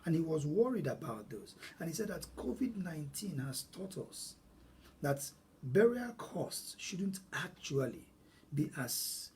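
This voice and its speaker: Earnest Nigerian man, higher voice. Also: sing-song, a little slow, a little mellow, slight background noise. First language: Igbo. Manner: earnest, emphatic, repeats phrases for stress. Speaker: host. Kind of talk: solo money advice talk.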